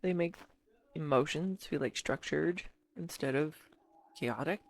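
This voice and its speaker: A woman acts out an earnest, bashful girlfriend in an intimate audio roleplay. Her voice sits low for a woman and she takes her time. She rambles affectionately to one listener, halting and teasing as she goes. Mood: earnest, absorbed.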